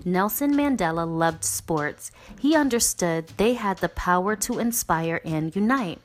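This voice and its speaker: A woman narrating a children's book as a read-aloud, in an expressive, deliberate way. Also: lowish voice.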